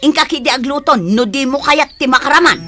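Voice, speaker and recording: A woman's voice: high voice, brisk, sharp tone. An actress playing a stern, exasperated mother of many children in a radio drama, firm and scolding.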